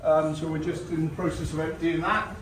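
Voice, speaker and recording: High-ish male guide, echoey noisy recording. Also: level tone, a little fast. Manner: polite, explanatory. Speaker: air traffic services manager, tour guide. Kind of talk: informal guided tour. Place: small office rooms and stairwells. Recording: roomy, distant mic, clearly noisy.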